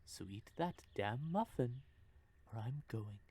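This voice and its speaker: A man's voice: medium pitch, lively intonation, slow pace, dark tone, breathy edge, exaggerated voice. A man voicing a teasing, possessive male vampire in an audio roleplay, with a smug, drawling manner. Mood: menacing whisper. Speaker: voice actor.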